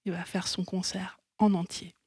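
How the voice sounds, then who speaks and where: A woman's voice: on the low side, flat delivery, normal speed, vocal fry, a little mellow, breathy edge, no room sound, clean studio sound. A woman co-hosting a music radio show in French, telling stories casually with frequent fillers and restarts. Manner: chatty, laughs easily, many fillers.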